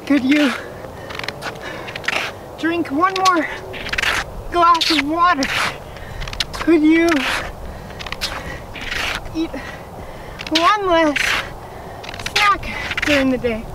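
Young woman vlogging on a park walk, high voice, kinda out of breath, far-off mic, loud wind. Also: drawn-out words, pauses often. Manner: encouraging, a little out of breath from walking on crutches. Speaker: fitness vlogger. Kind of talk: casual talk while walking. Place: outdoor park sidewalk, windy. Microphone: camera filming from a distance. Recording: distant camera mic, loud wind noise.

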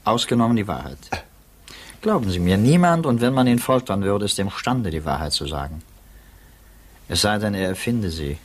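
An actor in a 1950s radio drama playing a philosophical middle-aged prosecutor, measured and musing. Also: male voice, fairly low pitch, animated.

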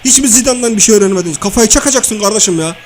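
Casting: male performer as crude, high-voiced comic everyman, slangy and blustering, nagging through cartoon game banter. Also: very fast, a little hiss or hum, natural voice. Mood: bossy, heckling. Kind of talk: comic video-game banter and match commentary.

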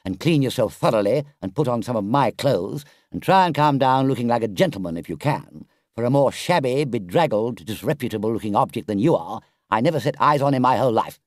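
Man acting a storybook water rat, sensible, firm, emphatic, widely swinging pitch, close dry sound. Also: wildly swooping pitch, moderate pace, a little mellow, no room sound. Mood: stern, scolding. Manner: grave, firm, scolding, emphatic. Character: stern, sensible male water rat, loyal friend.

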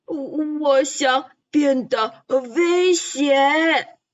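A woman's voice: high-pitched, extremely slow, no room sound, recorded from a distance, highs cut off. A woman doing a whiny little quokka. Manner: whiny, cries out, defiant. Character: small cute quokka wanting to seem fierce.

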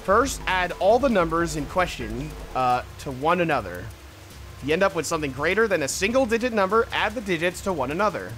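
Guy streamer, kinda high voice, super animated, reading game text and muttering to himself, bit of music behind. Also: fairly quick. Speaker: streamer playing a puzzle adventure game. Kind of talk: casual game commentary, reading on-screen text aloud.

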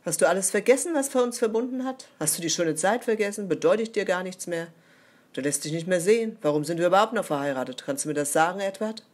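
A woman's voice: lowish voice, lively intonation, fast talker, darkish tone. A woman playing a wife who pleads with and reproaches her absent husband in a radio drama. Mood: pleading. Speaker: voice actor.

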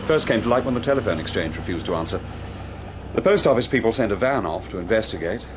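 An actor with a British accent playing a courteous, matter-of-fact army lieutenant in a radio drama. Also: male voice, lowish voice, animated, fast talker, lightly acted. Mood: grave, factual. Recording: noisy outdoor ambience with vehicles.

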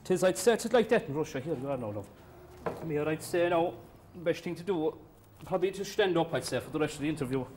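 A man's voice: fairly high pitch, expressive, fast, darkish tone, slight background noise, lightly acted. A man playing a crude, patronizing small-town boss, rambling and folksy. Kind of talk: comedy sketch acting.

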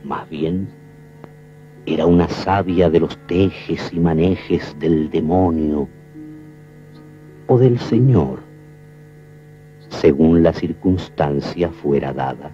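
A solemn Argentine storyteller with a very dark, deep voice. He narrates a folk legend slowly and poetically, on a muffled 1970s film soundtrack. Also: soft background music.

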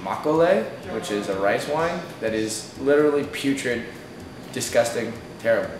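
A man's voice: medium pitch, expressive, moderate pace, roomy sound, recorded from a distance, noisy background. A man in his twenties, an exchange student, giving candid, emphatic interview answers indoors. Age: 20s.

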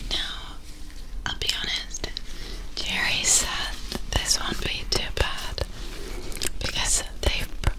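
A young adult woman whispering close to a binaural microphone in an ASMR roleplay as a nervous, squeamish alien doctor, with hesitant, repetitive muttering. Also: rough recording. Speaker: ASMR performer. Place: small dim indoor room. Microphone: close binaural ear microphone.

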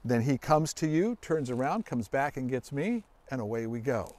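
Middle-aged man, lively swooping pitch, folksy. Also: normal speed. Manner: folksy, explanatory, asks the listener questions. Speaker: search dog handler and guest presenter. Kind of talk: casual educational demonstration for children. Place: outdoors in a grassy forest clearing.

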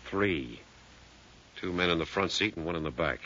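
An actor playing a level-headed, protective leader of adventurers, terse and authoritative, in an old radio drama. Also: male voice, deep voice, animated, moderate pace, pushed and bright, exaggerated voice. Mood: resolute. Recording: muffled old radio recording.

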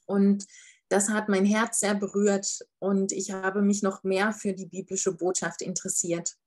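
A woman's voice: medium pitch, level tone, unhurried, darkish tone. A young woman on a video call from a living room, carefully reading a refugee's first-person statement with frequent hesitations. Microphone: laptop webcam on a video call.

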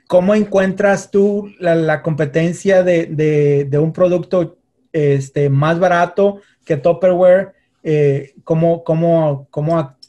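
A man's voice: on the high side, mellow. A man in his thirties hosting a business podcast, asking a guest questions over a video call, with hesitant restarts. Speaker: podcast host. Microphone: video call webcam.

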